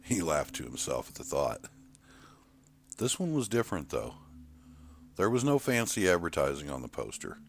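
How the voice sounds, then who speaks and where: A man's voice: low-pitched, slight background noise. A man reading a scripted horror short story as its narrator.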